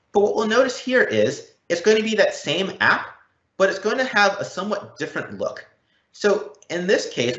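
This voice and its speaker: A man presenting a software demo, explaining it step by step. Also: medium pitch. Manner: explanatory, walking through step by step. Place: indoor room, live event. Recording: dull, roomy live event recording.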